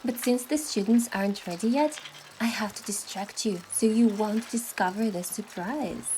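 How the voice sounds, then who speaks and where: A woman's voice: mid-range voice, moderate pace, mellow, a little hiss or hum, natural voice, poor audio. A woman voicing a playful, affectionate young girlfriend in a romance game, coaxing and earnest, over music. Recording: music behind the voice.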